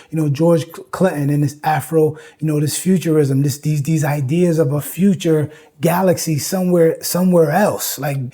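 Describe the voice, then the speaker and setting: Husky-voiced man giving an informal lecture. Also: fairly high pitch, dark tone. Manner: emphatic, repeats phrases, frequent "you know" and "right?". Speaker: host and lecturer.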